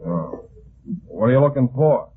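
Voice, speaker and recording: An actor playing a bullheaded, suspicious police officer in an old-time radio drama, blunt and blustering. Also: male voice, medium pitch, sing-song, unhurried, breathy edge. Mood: grudging, wary. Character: bullheaded, suspicious male police officer, gruff but loyal. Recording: muffled, phone-like old radio recording.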